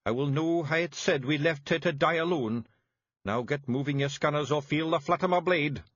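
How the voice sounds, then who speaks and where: A man's voice: fairly high pitch, moderate pace, no room sound, muffled recording, pitched above the performer's own voice, close to an everyday voice. A man voicing a bossy little fairy-man clan leader in a broad Scottish accent, blustering and commanding. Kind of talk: audiobook character voice.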